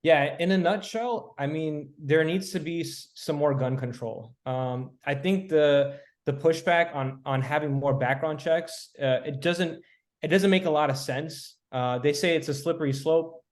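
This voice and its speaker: A man with a somewhat high-pitched voice speaks as a podcast guest. He lays out his views in a measured, reasoned way, building each point step by step. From Miami, Florida, US. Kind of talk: casual podcast discussion.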